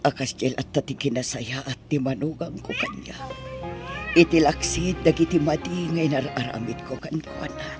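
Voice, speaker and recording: A woman's voice: low voice, huge pitch swings, moderate pace. A woman with an Ilocano accent playing a remorseful older mother pleading with her grown son in an audio drama. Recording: music behind the voice.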